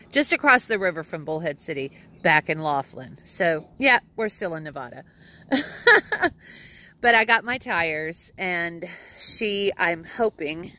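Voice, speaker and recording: A woman's voice: lowish voice, sharp tone. A woman in her forties with a Texan accent, chatting casually to a phone camera outdoors at an RV campground, rambling and laughing often. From Texas. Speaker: RV travel vlogger. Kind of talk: casual selfie vlog chat. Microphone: phone camera at arm's length.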